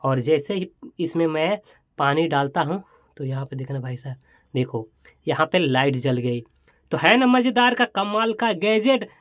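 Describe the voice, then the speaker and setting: A man's voice: high voice, dry recording. Exclamatory man showing products, dull lo-fi sound. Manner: exclamatory, chatty, repeats phrases while demonstrating. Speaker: toy and gadget review host. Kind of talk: casual hands-on product showcase.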